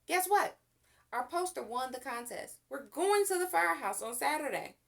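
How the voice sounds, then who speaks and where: A woman voicing an eager, excitable young boy in a storybook. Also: sits high, normal speed, gravelly, pitched above the performer's own voice. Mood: eager, excited.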